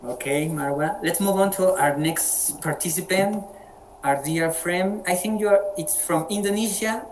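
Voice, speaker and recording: A man's voice: high voice, slight background noise, low-quality recording. Colombian guy hosting an english practice call, friendly, keeps repeating words, spanish accent. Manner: friendly, halting with frequent word repeats. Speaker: meeting moderator. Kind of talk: casual online group chat. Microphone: video call.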